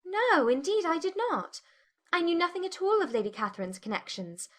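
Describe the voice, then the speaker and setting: A woman playing a witty, forthright young Regency gentlewoman in a dramatized audiobook. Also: very high-pitched, very animated, moderate pace. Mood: curious.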